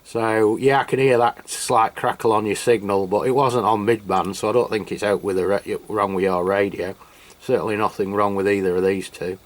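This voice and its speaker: A man's voice: lowish voice, steady tone, moderate pace. A man from England reviewing a radio outdoors on a cold, wet hilltop, talking casually with lots of fillers and restarts. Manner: chatty, full of fillers and restarts. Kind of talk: casual radio chat to camera. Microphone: handheld radio mic and camera.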